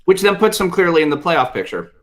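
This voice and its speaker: A man's voice: fairly high pitch, sing-song, fast. A man giving casual sports analysis as a panelist, rephrasing himself as he reasons it out. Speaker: guest panelist on a sports show.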